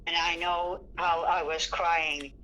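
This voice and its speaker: A woman's voice: on the low side, little pitch movement, takes it slowly, a bit bright. An older woman in a personal phone argument, scolding and stubborn, heard over a telephone line. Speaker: mother on a phone call with her son.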